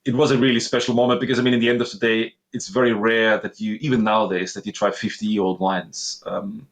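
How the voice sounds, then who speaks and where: A man in his thirties with an Austrian accent speaks as an interview guest in a somewhat low voice. He tells his story in an earnest, reflective way. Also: little pitch movement, fast. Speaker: guest, master sommelier. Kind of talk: conversational interview.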